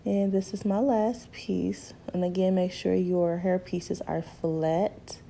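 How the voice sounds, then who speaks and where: A hoarse young woman chats her way through a hair tutorial in a small bedroom, her low voice gravelly and mellow. She talks to her viewers easily, calling them ladies and honey. A faint rumble sits under the camera mic. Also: takes it slowly, low-quality recording. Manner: chatty, relaxed, addresses viewers as ladies and honey. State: hoarse from a cold. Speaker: beauty vlogger. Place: small bedroom at home, indoors. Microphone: camera mic, seated close in front.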